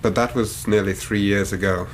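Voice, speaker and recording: An actor plays an earnest, worried young Victorian Englishman in a radio drama. His voice sits on the low side and his speech is formal and precise, with faint noise behind it. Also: steady tone, moderate pace, lightly acted. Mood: earnest, grave. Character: anxious, earnest Victorian young man, grieving son. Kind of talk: radio drama acting, narrating his own story.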